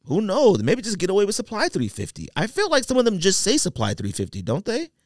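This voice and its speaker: A man's voice: sits high, wildly swooping pitch, fairly quick, dry recording, clean studio sound. A man co-hosting a podcast in casual, opinionated chat, talking in a rambling, repetitive, slangy way. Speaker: podcast co-host.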